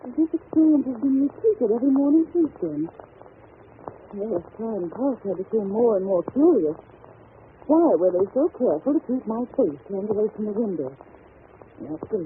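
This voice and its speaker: Woman acting genteel young governess, anxious, earnest, halting, 1930s radio drama, thin hissy recording. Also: medium pitch, phone-quality sound, natural voice. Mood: puzzled, earnest.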